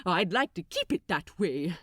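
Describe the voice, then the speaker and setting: Woman voicing a fussy male angel, wildly animated. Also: fairly low pitch, moderate pace, no room sound, studio quality, pitched below the performer's own voice. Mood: alarmed protest. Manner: fussy, flustered, formal. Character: anxious, prim, proper male angel, wary and fussy.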